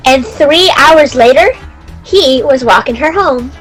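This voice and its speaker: A woman's voice: sits high, animated, slow pace, a bit bright, soft background music, poor audio. A woman giving scripted narration for an old-time style radio play.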